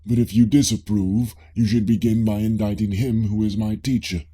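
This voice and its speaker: A man's voice: fairly low pitch, fairly even intonation, normal speed, mellow, breathy edge. A man voicing an inquisitive, mock-humble ancient Greek philosopher, formal and earnest, in an audiobook dialogue.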